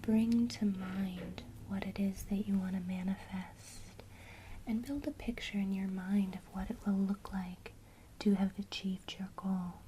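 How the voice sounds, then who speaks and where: A woman whispering her way through a gentle lesson on witchcraft, keeping an encouraging tone. Her whisper has a mellow, dark warmth. A faint hiss of noise sits under it. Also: mid-range voice, little pitch movement, a little slow, rough recording. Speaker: witchcraft teacher.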